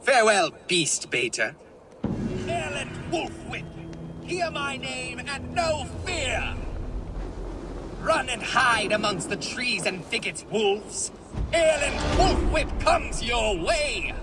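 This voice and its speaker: An actor playing a boastful, naive and excitable young would-be warrior in a video game, with theatrical delivery. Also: male voice, very high-pitched, slow, loud music behind the voice, full character voice. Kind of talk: video game character acting. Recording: clear background noise and ambience.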